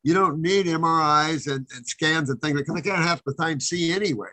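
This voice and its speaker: A man's voice: a little high, a little fast, pushed and bright. A man hosting a health call-in show, giving rambling, folksy advice to callers. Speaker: host answering callers.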